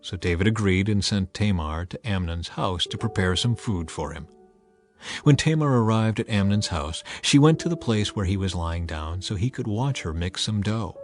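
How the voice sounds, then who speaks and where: A man reading scripture as an audiobook narrator, in a measured, expressive, dramatized storytelling style. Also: on the low side, faint music behind.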